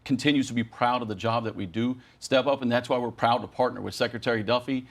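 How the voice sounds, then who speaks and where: A man's voice: medium pitch, fast talker. Earnest man advocating in an interview. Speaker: air traffic controllers' union president. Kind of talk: television news interview.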